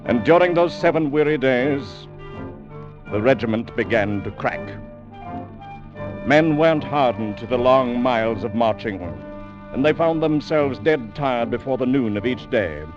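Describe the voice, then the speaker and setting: A dramatic storyteller of army life, played by a man, narrating with animated rises and falls. Music plays clearly behind him on a muffled old radio recording. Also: mid-range voice, a little slow, natural voice. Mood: grave, descriptive. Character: soldierly storyteller narrating a regiment's march to war.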